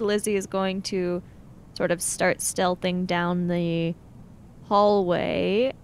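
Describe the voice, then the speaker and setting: A woman narrating and role-playing her character in a tabletop role-playing game. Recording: low rumble and background noise.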